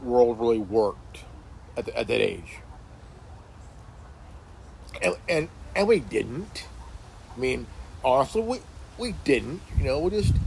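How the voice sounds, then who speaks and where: Late-forties man, solo talk, slow drawl, hesitant restarts, mid pitch. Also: gravelly, a little mellow, a little hiss or hum, rough recording. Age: 40s. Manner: halting, many fillers and restarts. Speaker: commentary channel host. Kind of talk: casual monologue. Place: sitting in a chair indoors.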